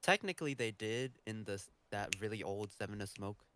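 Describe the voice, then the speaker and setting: A man's voice: on the low side, fairly quick, noisy background. A man chatting casually as a panelist on a podcast. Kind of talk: casual chat.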